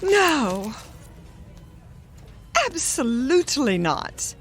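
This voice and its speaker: An actress playing a vain, imperious older wife in a radio drama, theatrical and indignant. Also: female voice, high voice, sing-song, drawn-out words, faint music behind, heavily acted. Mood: indignant, haughty. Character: vain, haughty older wife resisting a hearing aid.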